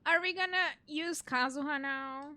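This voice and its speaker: A woman's voice: high voice, normal speed, sharp tone. A woman streamer chatting casually as she reacts to a game, with game music behind her. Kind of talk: casual gameplay reaction chat.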